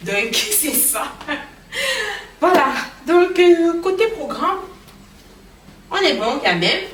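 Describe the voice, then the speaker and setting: A woman with a cold chats to her camera at home after a long workday. Her voice is hoarse and tired, and she fills pauses with little fillers. A faint background hiss sits under the camera recording. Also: extremely high pitch, sing-song, fairly bright tone. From now living in Canada. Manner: tired, chatty, many fillers. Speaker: vlogger. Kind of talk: casual vlog chat. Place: home kitchen.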